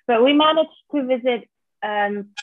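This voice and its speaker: A woman's voice: on the high side, unhurried, no echo. A woman in her thirties from Iceland with an Icelandic accent, chatting casually as a podcast guest about her life. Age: 30s. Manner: relaxed, plainspoken storytelling. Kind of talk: casual interview chat. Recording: dry, tinny phone-like line.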